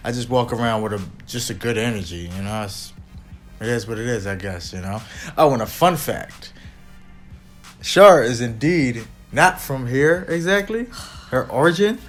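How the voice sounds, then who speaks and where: A man's voice: mid-range voice, expressive, normal speed, faint music behind. A man in his thirties, chatting casually as a co-host and rambling with frequent fillers. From United States. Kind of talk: casual chat.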